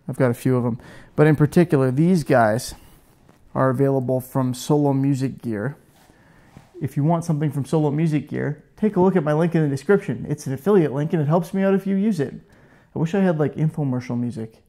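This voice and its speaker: A man's voice: mid-range voice, darkish tone. A man in his thirties hosting a casual woodworking demo in his garage workshop, chatty and self-joking, on a lapel mic. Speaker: woodworking channel host.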